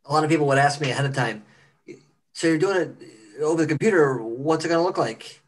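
A man's voice: on the high side, a little fast, rough recording. A man talking casually as a cast member in a group video call. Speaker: cast member of an amateur radio play. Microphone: computer on a video call.

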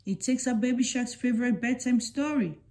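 A woman reading a children's picture book aloud in a deliberate, simple storytelling way for young children. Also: fairly high pitch, warm tone. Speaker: storybook reader.

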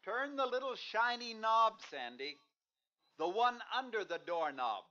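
A man's voice: sits high, expressive, measured pace, bright, forceful tone, exaggerated voice. An actor in a 1950s radio drama playing a strained, impatient father who urgently coaxes his small son. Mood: coaxing. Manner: urgent coaxing, exasperated. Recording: dull, lo-fi 1950s radio broadcast.

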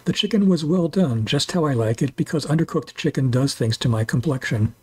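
A man's voice: fairly high pitch, expressive, a little fast, husky. A man in his sixties reading a scripted voice-over for a food and walking tour, with dry, wry humor. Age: 60s. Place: voice-over, not recorded on location.

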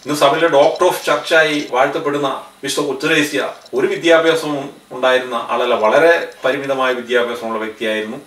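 A man's voice: mid-range voice, fairly even intonation, a little fast, a bit bright, roomy sound, distant mic. A man in his thirties preaching a sermon to camera in earnest, his voice rising on key phrases. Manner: earnest, gestures with both hands, voice rises on key phrases. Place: studio in front of a backdrop.

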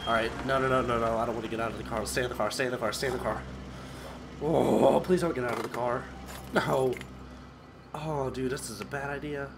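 A man's voice: medium pitch, steady tone, a little fast, gravelly, very noisy. A young man hosting a horror game playthrough, nervous and jittery, with a stuffy, sick-sounding voice on a headset mic. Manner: nervous, jittery, frequent yelps and laughs. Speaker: gaming channel host. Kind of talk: horror game let's play commentary. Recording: game music behind voice.

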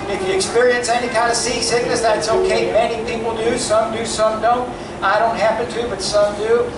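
A middle-aged man from Kentucky with a Kentucky accent, doing a stand-up comedy routine with a deadpan, punchy delivery and drawn-out pauses. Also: high-pitched. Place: large theater stage. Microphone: handheld mic, filmed from far off. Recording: echoey theater from far off, loud crowd noise.

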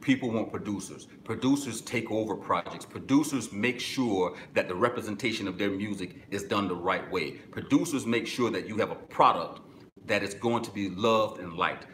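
A middle-aged man with a deep voice, hosting a live-stream webinar and giving direct, instructive answers to viewer questions from a small office. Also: a bit bright, echoey room, a little hiss or hum. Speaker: music business webinar host. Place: small office with corkboard, indoors.

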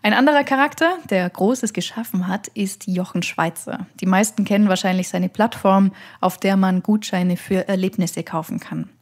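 A woman's voice: medium pitch, normal speed, hardly any pauses. Woman hosting a podcast. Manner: lively, reads intro and ad smoothly, asks direct questions. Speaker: podcast host. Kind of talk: podcast intro and interview questions.

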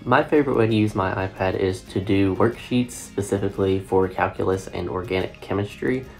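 A young man, a college student from Kentucky, giving an earnest, measured testimonial. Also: deep voice, vocal fry, darkish tone, soft background music. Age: young adult. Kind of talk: scripted testimonial.

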